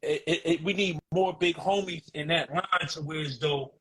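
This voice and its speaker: A man in his forties with a fairly high, husky voice. He talks in loose street slang, animated and emphatic, circling back to repeat his points. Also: moderate pace. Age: 40s. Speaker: guest. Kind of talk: casual podcast conversation.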